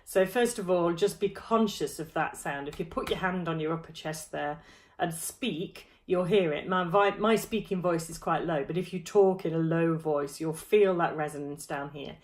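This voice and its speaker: Low-voiced woman coaching singers. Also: normal speed. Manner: friendly, demonstrative, touching throat and jaw while explaining. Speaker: choir vocal coach. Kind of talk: vocal warm-up lesson to camera. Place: small room at home, indoors.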